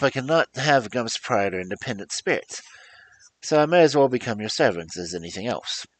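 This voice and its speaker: A squeaky, gloomy winged creature. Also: male voice, no room sound, low-quality recording. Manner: plaintive, wondering.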